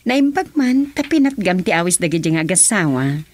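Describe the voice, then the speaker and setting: A woman's voice: on the low side, sing-song, normal speed, darkish tone. A woman with an Ilocano accent playing a composed lady in a radio drama.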